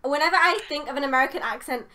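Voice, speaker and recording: A woman guest in a casual chat, excitable and quick to laugh. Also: high-pitched.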